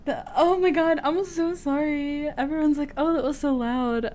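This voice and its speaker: A woman's voice: high voice, steady tone, unhurried, a little mellow, slight background noise. A woman streamer in casual, giggly, playfully profane chat as she hosts a tarot reading.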